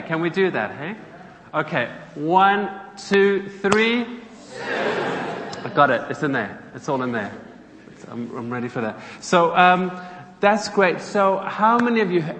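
A man's voice: fairly high pitch, a bit bright, slight background noise. South African man in his thirties, friendly guest preacher, roomy church sound. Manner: chatty, engages the audience with questions. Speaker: guest speaker from a charity. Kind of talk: church talk to a congregation. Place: church hall. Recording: echoey hall, faint ambience.

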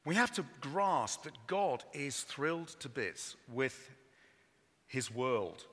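A man preaching a sermon in a church, enthusiastic and openly apologetic about how loud his voice is. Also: medium pitch, very animated, slow. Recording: long hall reverb.

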